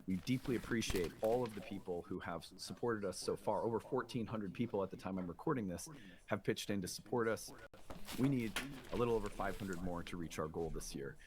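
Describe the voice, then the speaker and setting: A man with a fairly low voice presents a talk show in a conversational, earnest style. He explains things plainly as host, and a clear crowd murmur sits behind him. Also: fairly quick.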